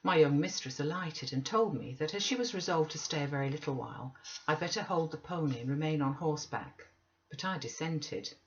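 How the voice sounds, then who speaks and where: A woman's voice: deep voice, lively intonation, a little fast, lo-fi sound, slight background noise. A woman narrating a literary audiobook from a script. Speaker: audiobook reader.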